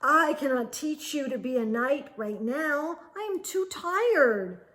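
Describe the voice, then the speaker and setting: A woman's voice: high voice, animated, takes it slowly, fairly bright tone, heavily acted. A woman voicing a weary, grumpy owl knight, firm and exasperated, in a children's picture-book read-aloud.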